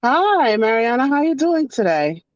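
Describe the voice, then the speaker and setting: A woman's voice: sits high, sing-song, unhurried, dry recording, muffled recording. A woman hosting a podcast from her car, chatting casually and repeating her phrases. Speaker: podcast host. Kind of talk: casual podcast intro and interview.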